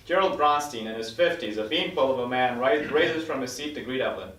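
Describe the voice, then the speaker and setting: A man narrates stage directions from a script at a quick clip. His pitch is middling, and the room rings around him as the mic picks him up from a distance. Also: lively intonation, a bit bright. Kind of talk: scripted narration at a table read. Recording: echoey room, distant mic, faint noise.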